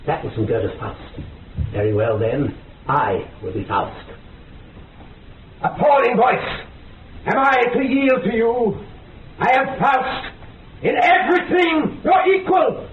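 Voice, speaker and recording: An actor in a radio horror drama playing an obsessed, grandiose scientist who lectures and declaims intensely. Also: male voice, extremely high pitch, big pitch swings, slow, long pauses, exaggerated voice. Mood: intrigued, then defiant. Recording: phone-like band, echoey, distant, noisy.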